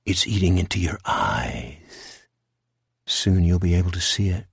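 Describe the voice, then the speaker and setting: A man voicing a cold, taunting shadowy monster in a horror audiobook, speaking slowly and deliberately with menace. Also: deep voice, expressive, vocal fry, slightly rough, no echo, clean studio sound, pitched below the performer's own voice, heavily acted. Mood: sinister, taunting. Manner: slow, deliberate, coldly commanding.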